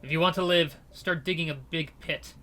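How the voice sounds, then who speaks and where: Man doing a cold sneering gangster leader, bright and hard-edged, commanding. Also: a little high, moderate pace. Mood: cold mocking.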